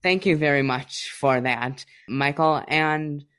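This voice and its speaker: A man's voice: on the high side, slow, fairly bright tone, clear, resonant tone. A man hosting a casual interview in a playful, joking way. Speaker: interview host.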